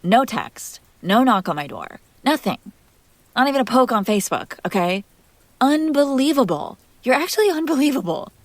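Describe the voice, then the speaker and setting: Woman playing jealous young crush girl, hurt n sarcastic, sighy halting delivery, intimate roleplay audio, super dry recording. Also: high-pitched, sing-song, no room sound. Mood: incredulous, indignant. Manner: sighing, halting, sarcastic, emotionally raw.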